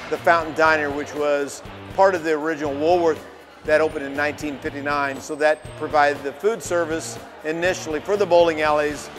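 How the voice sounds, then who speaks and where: An older man who owns a building, answering interview questions in a halting way with frequent restarts. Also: a little high. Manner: halting, restarts, self-correcting. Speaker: building owner.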